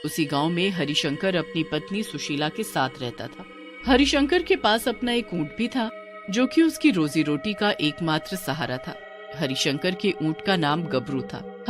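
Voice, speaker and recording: A woman giving scripted narration of a village horror tale as storyteller. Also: fairly low pitch.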